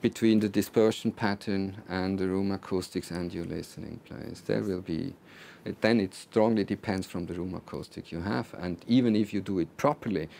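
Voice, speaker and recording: A man in his sixties presenting technical material to a small group, phrasing deliberately with repeated words and hesitations. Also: low voice, gravelly, mellow, a little hiss or hum. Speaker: loudspeaker designer presenting. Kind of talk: technical talk to a small audience. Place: small recording studio control room with seated audience.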